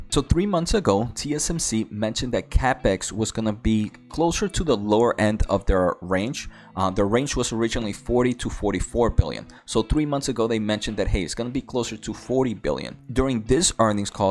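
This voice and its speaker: A man with a fairly low voice presents financial commentary as the host of an online video. He explains step by step in an informal, conversational way, speaking directly to viewers. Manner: informal, walks through numbered questions step by step. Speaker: host of a stock investing video channel.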